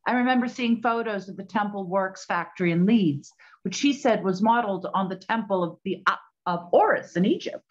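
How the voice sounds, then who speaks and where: A woman playing a plucky, practical 1920s detective and bossy sister in a period drama, with brisk, slangy talk. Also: mid-range voice, lo-fi sound, close to an everyday voice. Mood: curious. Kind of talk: scripted period radio drama acting.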